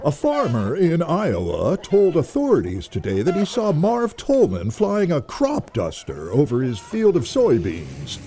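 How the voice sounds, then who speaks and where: An earnest news announcer in an audio comedy. His mellow voice moves very widely in pitch over faint noise, delivered deadpan. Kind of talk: news bulletin.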